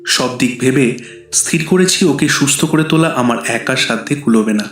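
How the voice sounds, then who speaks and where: A man's voice: mid-range voice, moderate pace, fairly bright tone, close to an everyday voice. A man playing a sober, resolute doctor, confiding in a friend through a letter in an audio horror drama. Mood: serious, reassuring. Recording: roomy reverb, faint music behind.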